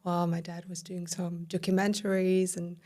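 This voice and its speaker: A woman in her thirties from southern Germany with a German accent, a podcast guest recounting her life in a warm, filler-heavy way. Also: fairly low pitch, little pitch movement, moderate pace. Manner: warm, storytelling with many fillers. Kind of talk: casual interview conversation.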